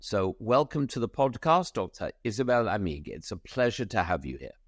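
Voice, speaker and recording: A man's voice: fairly low pitch, expressive, moderate pace, no echo, clean studio sound. A man hosting a medical podcast, reading a formal guest introduction and then chatting with many small hesitations. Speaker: podcast host.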